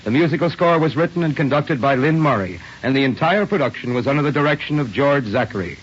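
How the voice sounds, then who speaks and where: A man's voice: medium pitch, expressive, fast talker, breathy edge. A male announcer reading scripted credits in a 1940s radio style. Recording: dull lo-fi vintage radio broadcast.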